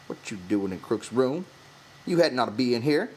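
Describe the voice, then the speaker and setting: A stern, disapproving ranch worker, voiced by a male narrator in an audiobook. He scolds gruffly in rural vernacular with a lively rise and fall, over faint hiss. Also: mid-range voice, normal speed, voice pushed up, natural voice. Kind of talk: audiobook character voice.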